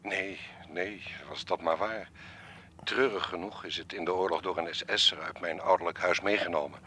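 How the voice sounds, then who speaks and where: An actor playing an elderly, courteous, wistful art collector in a radio drama, speaking in a formal, measured, reflective way. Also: male voice, low voice, fairly even intonation, bright, forceful tone, slight background noise. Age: 70s or older.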